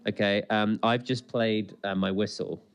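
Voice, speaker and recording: A man chatting casually as a podcast co-host commenting on a TV episode, in an excitable, rambling, jokey way. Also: on the low side, unhurried, clean tone, dull audio.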